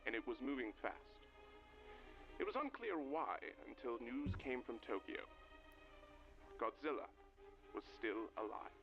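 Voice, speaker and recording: Male narrator for analog horror documentary: solemn, dramatic, varied pitch, dull lo-fi radio sound with music underneath. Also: big pitch swings, unhurried, long pauses. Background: clear music under the voice.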